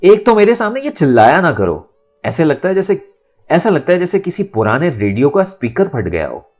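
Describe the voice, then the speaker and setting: A man voicing a defiant, sharp-tongued young woman in a storybook, with taunting emphasis. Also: a little high, sing-song, moderate pace, voice pushed up. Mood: defiant, mocking. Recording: music under the voice.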